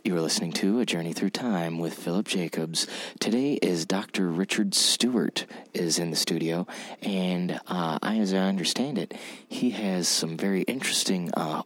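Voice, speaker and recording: A man playing a bumbling, pompous radio interviewer who speaks in a halting, repetitive, earnest way. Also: sits low, no room sound. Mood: self-important, formal. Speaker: radio show host. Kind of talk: mock old-time radio interview show.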